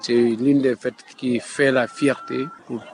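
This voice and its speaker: A man's voice: mid-range voice, level tone, slow pace. Senegalese guy, tour guide giving an off the cuff interview, noisy ambience behind him. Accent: Senegalese French. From Kédougou region, Senegal. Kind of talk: spontaneous spoken interview.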